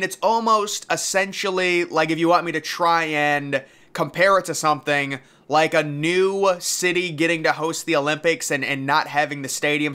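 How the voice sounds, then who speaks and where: A man narrates a history video in a conversational, informal style. His voice sits a little high, with a bright, forceful edge and a clean, crisp sound. He explains things casually and slips in his own asides. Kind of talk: casual explanatory commentary over historical images.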